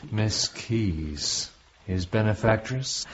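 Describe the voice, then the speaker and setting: An actor playing a guarded, brooding male stranger who speaks in terse, halting questions in a radio drama. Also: lowish voice, lively intonation, slightly rough, full character voice. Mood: guarded, suspicious. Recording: muffled, with noisy ambience behind.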